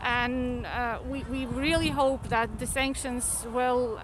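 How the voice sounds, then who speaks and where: A woman's voice: on the high side, steady tone, takes it slowly. A woman, hesitant and repeating words, interviewed outdoors on a city street. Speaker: interviewed protester. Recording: noisy outdoor urban street.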